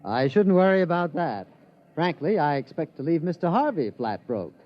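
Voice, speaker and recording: A man's voice: fairly high pitch, sing-song, normal speed. An actor playing a polite, precise male scientist who hesitates but stays determined, in a 1950s radio drama. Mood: earnest. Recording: muffled vintage radio recording.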